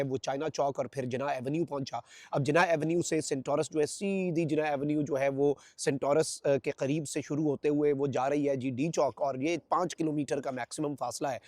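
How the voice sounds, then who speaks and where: A man's voice: a little high, a little mellow, no echo, clean studio sound. A man with a Pakistani accent delivering news commentary to viewers as a channel host, in an emphatic, repetitive way.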